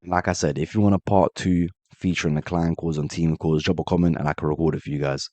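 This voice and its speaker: A man with a very low voice talks quickly through a screen-share tutorial, fighting off a cold. His tone is dark and mellow, and the dry, close sound has no room in it. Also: steady tone, no echo. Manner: brisk, direct step-by-step walkthrough addressing viewers as guys. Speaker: automation tutorial host. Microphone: voice-over on screen recording.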